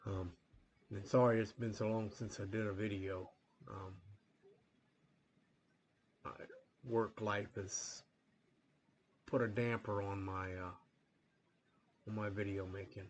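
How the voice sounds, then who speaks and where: A man hosting a train-game playthrough in a rambling, relaxed way, full of fillers, a little sniffly from a coming cold. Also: fairly low pitch, takes it slowly, long pauses, a little hiss or hum. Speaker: gaming channel host. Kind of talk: casual gameplay commentary.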